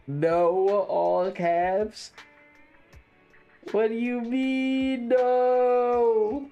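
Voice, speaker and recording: Measured, sardonic streamer over game music. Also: mid-range voice, fairly even intonation, warm tone. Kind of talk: casual livestream chat and game commentary.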